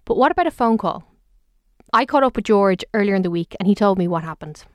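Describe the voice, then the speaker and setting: A woman's voice: medium pitch, expressive, fast talker, dry recording. Dry-voiced woman radio host. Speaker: radio tech show host. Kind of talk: radio presenting and interviewing.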